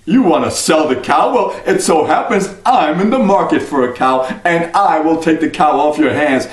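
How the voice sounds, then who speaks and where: A man's voice: fairly high pitch, animated, normal speed, far from the mic, natural voice. A man doing a slick, mysterious stranger. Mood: sly. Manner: slick, persuasive. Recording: echoey room, voice off-mic.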